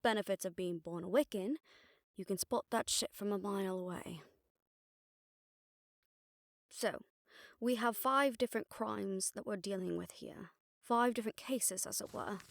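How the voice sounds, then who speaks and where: Woman acting as a witch detective in an audio rp, gravelly fry, close dry sound, wry n casual, kinda exasperated. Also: mid-range voice, measured pace, long pauses, dry recording. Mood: focused, explaining. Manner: casual, wry, mildly swearing, explanatory. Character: exasperated, sharp-tongued witch detective briefing her partner. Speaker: audio roleplay voice actor. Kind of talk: conversational audio roleplay acting.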